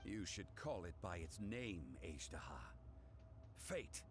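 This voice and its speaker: Stoic god, dramatically inflected. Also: male voice, deep voice, expressive, background noise. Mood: solemn. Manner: formal, deliberate, grave. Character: stoic, dignified ageless male god of contracts. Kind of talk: dramatic video game acting. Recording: game music behind.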